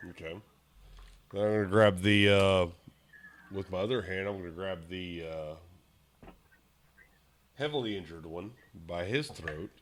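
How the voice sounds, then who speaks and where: A low-voiced man plays at a tabletop game, stating what he does in a blunt, matter-of-fact way. A faint hiss sits under his voice. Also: a little slow, long pauses. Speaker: player. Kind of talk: casual tabletop game play.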